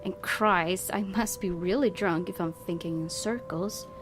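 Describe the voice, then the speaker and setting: A woman's voice: on the low side, unhurried, noisy background. A woman hosting a gaming video, reading a visual novel's narration aloud and dropping in casual asides. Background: game music.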